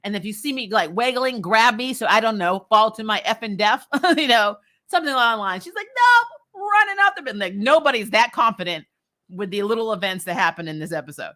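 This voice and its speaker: Casual female recap host, very bright forward voice, animated and chatty delivery. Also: a little high, brisk. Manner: animated, laughs often while talking. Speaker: host of a TV show recap series. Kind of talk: casual, chatty episode review.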